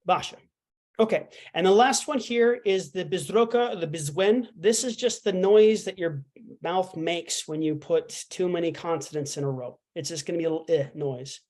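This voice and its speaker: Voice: medium pitch, normal speed, a little mellow. A language instructor giving a casual online lesson, explaining letters in a chatty way with fillers and playful asides.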